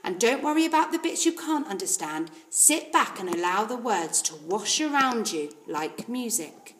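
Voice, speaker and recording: A woman's voice: mid-range voice, sing-song, moderate pace, slight background noise. Woman as a kindly, lilting storybook librarian. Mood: encouraging. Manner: gentle, encouraging. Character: kindly, thoughtful, astonished village librarian woman. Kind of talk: storybook character voice.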